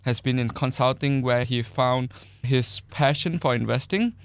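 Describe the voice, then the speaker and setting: A man's voice: medium pitch, a little slow, clean tone, no room sound. A man hosting a podcast, introducing and interviewing a guest in a conversational style. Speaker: podcast host.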